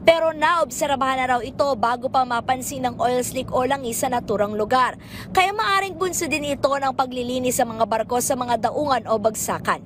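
A woman field reporter narrating a television news report in a measured, formal newsreading manner. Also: high voice, a bit bright, slight background noise.

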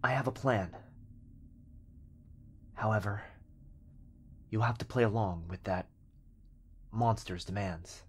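A man's voice: lowish voice, slow, long pauses, slight background noise, pitched above the performer's own voice. A man playing an earnest young boyfriend in an ASMR roleplay, protective and tender, speaking with urgent reassurance. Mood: tender, reassuring.

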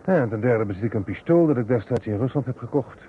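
A man's voice: mid-range voice, lively intonation, fast, phone-quality sound, close to an everyday voice. An actor playing a wry, composed man under suspicion, understated and a little hesitant, in an old radio drama. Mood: wry, resigned. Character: wry, composed male murder suspect and amateur helper. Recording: thin vintage radio recording, faint noise.

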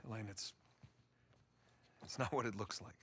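A man's voice: lowish voice, pushed and bright, a little hiss or hum. An actor playing a stubborn, earnest American treasure hunter in his thirties, in cinematic video game dialogue. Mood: sheepish, halting. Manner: halting, pleading when cornered. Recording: music under the dialogue.

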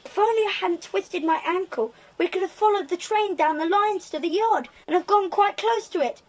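Eager, good-natured British boy for children's drama, extremely high voice. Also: moderate pace, rough recording. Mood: pained, rueful. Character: eager English schoolboy adventurer, chatty and good-natured. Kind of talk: children's audio drama acting.

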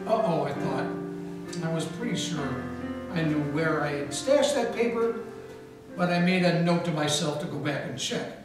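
A man narrating and playing a wisecracking hardboiled detective in a live 1940s radio play, deadpan. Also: a little high, moderate pace. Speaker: narrator and lead actor. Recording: echoey hall from far off with music.

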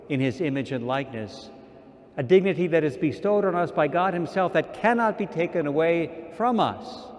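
A man in his sixties, an archbishop, preaching a sermon at a pulpit mic in a large church, slow and measured with repeated phrases. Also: on the high side, a little mellow. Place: large church sanctuary, indoors. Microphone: stand mic at pulpit. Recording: long church reverb.

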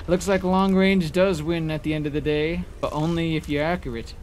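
Higher-voiced man commentating over noisy gameplay. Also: steady tone, normal speed, a little mellow. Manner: informal, sweary. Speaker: gaming video creator. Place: indoor room, webcam gaming setup. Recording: noisy, game sounds behind voice.

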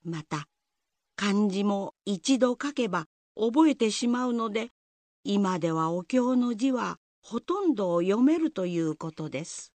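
A woman's voice: medium pitch, expressive, unhurried, a little mellow, dry recording. A woman narrator reading a scripted Japanese folktale in the style of a radio drama. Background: music.

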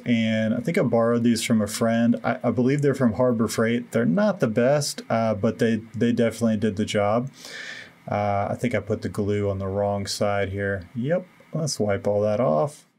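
A man in his thirties giving a relaxed, self-deprecating voice-over walkthrough of a home building project, with many fillers. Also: medium pitch, unhurried. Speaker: DIY renovation vlogger.

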